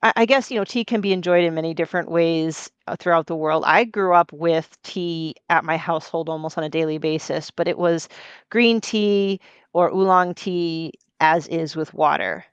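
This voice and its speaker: Smooth-voiced woman podcast host. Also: low-pitched, no echo. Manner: friendly, chatty, quick asides. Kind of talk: casual interview chat. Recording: dry, dull lo-fi sound.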